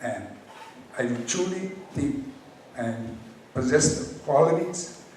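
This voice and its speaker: In a heavily reverberant hall, a middle-aged man speaks some way from the mic. His voice sits a little high as he delivers an earnest campaign speech, halting often for effect. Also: animated, a little mellow. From Hawaii. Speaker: county council candidate. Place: high school hall public forum. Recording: echoey hall, distant mic, faint noise.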